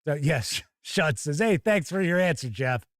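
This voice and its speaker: A man's voice: on the high side, a little slow, dry recording, clean studio sound. A man hosting a live gaming news show, chatty and wry, moving between casual asides and reading out news copy. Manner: animated, wry, conversational.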